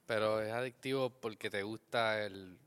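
Chill guy, bright sharp voice, keeps it short. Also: on the low side, fairly even intonation, moderate pace, clear, resonant tone. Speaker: podcast co-host. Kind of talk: casual chat.

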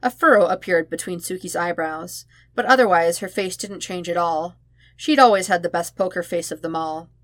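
A woman narrates a piece of fiction in a fairly low voice. Her reading is expressive, with dramatic emphasis in the phrasing. The sound is dry, with very little room around it. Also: moderate pace, no echo. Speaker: podfic narrator. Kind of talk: expressive audiobook-style fan fiction narration.

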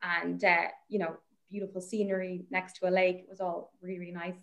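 A woman's voice: fairly low pitch, flat delivery, fairly quick, gravelly, lo-fi sound. A young Irish woman researcher, chatting as a guest and telling a story in a rambling way, with plenty of laughter and fillers. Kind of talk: casual anecdote on a podcast.

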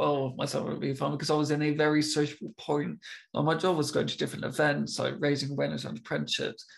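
A young man who stammers, talking casually as the interviewer, with repeated words and long pauses. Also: on the high side, gravelly. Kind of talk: casual conversation.

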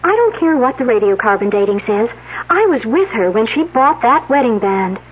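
A woman's voice: fairly high pitch, big pitch swings, normal speed. An actress in a 1970s radio drama playing a defiant, unsentimental young woman who answers in a terse, guarded way. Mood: defiant, blunt. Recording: tinny, noisy old radio broadcast.

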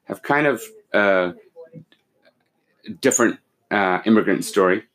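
A man in his forties, born in Pakistan and raised in London and Kuwait, calmly explaining his company as a podcast guest, with many fillers and restarts. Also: lowish voice, measured pace, poor audio. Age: 40s. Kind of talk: casual interview, explaining business.

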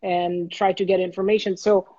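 A man in his forties talks through his career as a guest, earnest and steady, often restarting small phrases. His voice sits fairly high for a man. The audio is muffled and lo-fi, with a completely dry, close sound. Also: moderate pace, no room sound. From Bangladesh. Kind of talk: interview, telling his career story.

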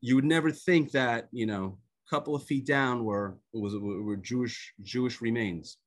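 A man from the United States whose first language is Hebrew, telling a personal story as a guest speaker on a video call, pausing often with fillers and restarts. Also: mid-range voice. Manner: halting, with many fillers and restarts.

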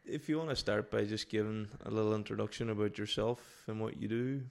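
A man's voice: on the low side, steady tone, quick pace, creaky. A man hosting a podcast, interviewing conversationally and circling his way hesitantly into his questions. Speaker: podcast host.

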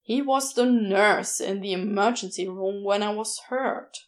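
A woman's voice: mid-range voice, a little slow, natural voice. Surly male florist for story audio, female performer: guarded, growling, curt, taking his time. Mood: snarling, guarded.

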